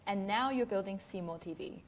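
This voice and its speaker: A woman's voice: medium pitch, a little fast, a little hiss or hum. Giggly Australian-accented woman host. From New Zealand. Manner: giggly, chatty, lots of fillers. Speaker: podcast host. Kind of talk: casual interview.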